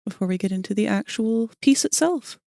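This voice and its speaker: A woman's voice: medium pitch, lively intonation, fairly quick, gravelly, dark tone, no room sound, studio quality. A woman streamer chatting casually with her viewers while sketching, her voice a little scratchy, greeting people by name and laughing often. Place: cold room at home.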